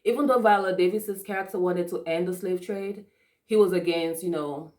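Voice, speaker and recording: A woman's voice: fairly low pitch, normal speed, darkish tone. A young woman from Africa giving a casual, chatty movie review with lots of fillers and restarts. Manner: chatty, many fillers and restarts, frequent laughs. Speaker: vlogger.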